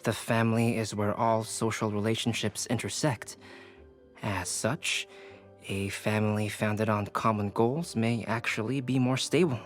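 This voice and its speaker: A man's voice: lowish voice, steady tone, a little slow, faint music behind, natural voice. Actor as composed, analytical young male alchemist. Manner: composed, formal, inquisitive. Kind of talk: video game voice acting.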